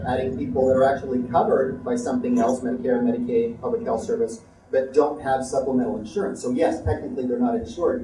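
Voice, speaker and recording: A man speaking as a panel guest in a debate, laying out his points one by one in a measured way. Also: mid-range voice. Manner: measured, lists points in order. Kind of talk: debate remarks. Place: tobacco shop, live radio show. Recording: echoey room from far off, background noise.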